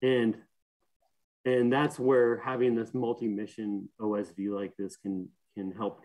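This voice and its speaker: A man's voice: fairly low pitch, mellow, poor audio. A young man giving a technical conference talk from home over a video call, explaining earnestly with many fillers and restarts. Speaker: conference speaker, systems engineer. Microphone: webcam or laptop mic on a video call.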